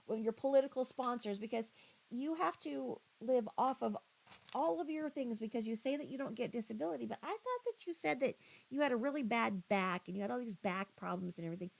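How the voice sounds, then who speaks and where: A woman giving critical, confrontational reaction commentary, scornful and halting, often repeating her phrases. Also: a little high, creaky, low-quality recording. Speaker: commentator reacting to another creator's livestream.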